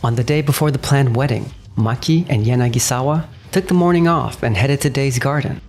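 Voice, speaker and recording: A man's voice: mid-range voice, fairly quick, a little mellow, soft background music, close to an everyday voice. Composed young businessman acted by a man, dramatic storytelling. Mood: composed, firm. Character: earnest, responsible 29-year-old businessman narrating his betrayal. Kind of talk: dramatic manga dub narration and dialogue.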